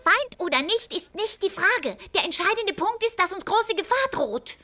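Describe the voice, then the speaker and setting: A woman's voice: very high-pitched, lively intonation, moderate pace, pushed and bright, full character voice. A woman voicing an earnest, stern female cat mentor in an anime audio drama, serious and admonishing. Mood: concerned. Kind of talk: German-dubbed anime radio play.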